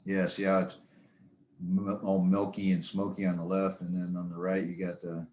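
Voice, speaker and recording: A man giving an informal club talk about his hobby in a rambling, halting, folksy way. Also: deep voice, completely flat delivery, background noise. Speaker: presenter, amateur radio hobbyist. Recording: echoey room sound.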